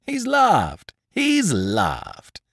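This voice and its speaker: Man playing a theatrical, teasing gentleman thief, wildly swooping. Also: on the high side, huge pitch swings, a little slow, creaky, no echo, clean studio sound, pitched above the performer's own voice, heavily acted. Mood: jubilant mocking. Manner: mocking, theatrical, peppered with rhetorical questions. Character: gentleman thief, teasing, exuberant, boastful, playfully condescending to youngster. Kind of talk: dramatised audiobook character dialogue.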